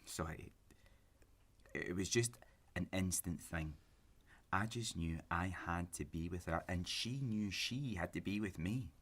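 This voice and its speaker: A young man playing a grieving, intense romantic on a first date in a naturalistic sci-fi audio drama, earnest and halting, with a husky voice. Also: low voice. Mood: wistful, smitten. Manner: earnest, halting, flares into agitation.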